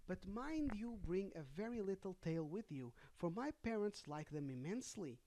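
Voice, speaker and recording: An eager young princess of the storybook kind, as read by a storyteller. She is naive and earnest, giving her instructions in a middling pitch. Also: lively intonation, normal speed, mellow, close to an everyday voice, low-quality recording.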